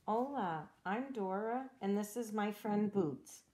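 Dora read by a woman, gentle upbeat girl. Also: mid-range voice, slow pace, natural voice. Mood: encouraging. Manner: gentle, encouraging, asks the listener questions. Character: cheerful young girl explorer, upbeat and eager. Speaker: storybook reader. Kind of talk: reading a children's storybook aloud.

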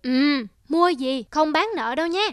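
A man's voice: extremely high pitch, measured pace. A man playing a grumpy, fussy old shopkeeper in a cartoon, scolding and grumbling. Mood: gruff. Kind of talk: cartoon character acting. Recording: music under the voice.

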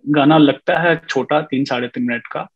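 A man's voice: mid-range voice, normal speed, breathy edge. A man in his thirties, a music composer speaking as an interview guest over a video call, telling his story with lots of fillers and mixing in English. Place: indoor room at home. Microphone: webcam on a video call. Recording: dull lo-fi video call audio.

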